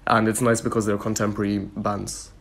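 A man from Kenya speaks in a low, even tone that rarely climbs. He sounds relaxed and thoughtful, and often pads his sentences with "you know" as he talks about his craft. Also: a little fast, vocal fry. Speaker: musician guest. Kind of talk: interview answers.

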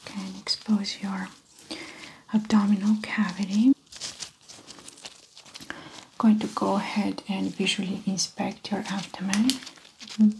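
A woman whispering softly and breathily in an ASMR medical exam roleplay, gently explaining each step without hurry as the doctor. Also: medium pitch, little pitch movement, measured pace, gravelly, pauses often, slight background noise, rough recording. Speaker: ASMR performer playing a doctor.

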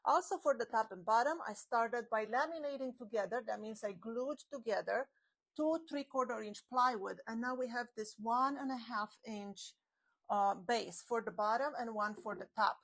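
A slightly high-voiced woman in her forties walks through a woodworking build in a casual, chatty way. She talks while she handles boards and glue, her clip-on mic catching her in a home workshop with the garage door open. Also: moderate pace, fairly bright tone. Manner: chatty, explains while working with her hands. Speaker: woodworking channel host. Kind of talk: step-by-step workshop tutorial. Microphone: small clip-on mic on her shirt.

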